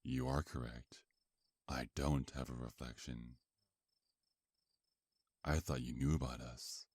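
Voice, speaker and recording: A man playing a seductive, possessive vampire mafia boss in an ASMR roleplay, with a taunting, drawn-out, intimate murmur. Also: very deep voice, steady tone, a little mellow, husky, dry recording, full character voice. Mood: smugly admiring.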